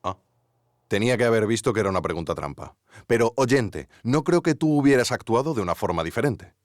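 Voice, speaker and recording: A man playing an earnest investigative journalist, narrating a scripted documentary mystery in a deliberate, theatrical way. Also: medium pitch, expressive, no echo, clean studio sound, natural voice. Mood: wry, sheepish. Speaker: podcast narrator and investigative interviewer.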